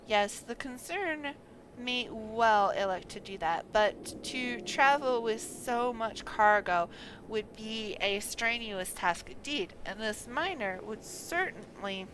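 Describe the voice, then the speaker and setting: A woman's voice: a little high, animated, takes it slowly, noisy background. A woman voicing an eager little male officer from a fantasy game, emphatic and formally archaic. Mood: knowing.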